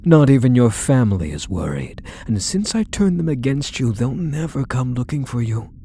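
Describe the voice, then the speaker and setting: A man playing a possessive, doting kidnapper obsessively in love, speaking in soft, coaxing, condescending endearments for an intimate ASMR roleplay. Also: medium pitch, big pitch swings, moderate pace, a little hiss or hum, close to an everyday voice. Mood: calm menace.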